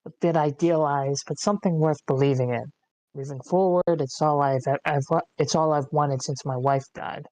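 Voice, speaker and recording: A man playing an infected widower in a screenplay table read, dreamy and hopeful, with a halting delivery. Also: a little high, fairly even intonation, dark tone, lightly acted, low-quality recording. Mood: wistful. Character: infected widower, dreamy, clinging to hope, weakening.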